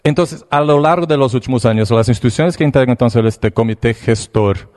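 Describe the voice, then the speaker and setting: A man from Brazil with a Brazilian Portuguese accent, whose first language is Portuguese, giving a slide presentation in a hesitant, halting way with frequent restarts. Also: mid-range voice, darkish tone. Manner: hesitant, pausing, restarts words. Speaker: conference presenter.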